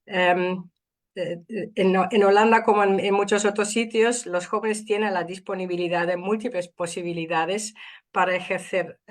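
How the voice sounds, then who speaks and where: A middle-aged woman with a Dutch accent, Spanish not her first language, giving an academic talk carefully with frequent fillers and restarts. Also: medium pitch. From Netherlands. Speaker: invited speaker.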